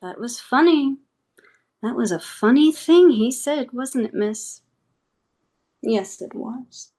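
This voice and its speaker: A woman playing a cool, controlled, persuasive corporate boss in a table read, speaking in measured, deliberate speeches. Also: on the high side, lively intonation, mellow. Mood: softly amused. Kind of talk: live table read of a TV script.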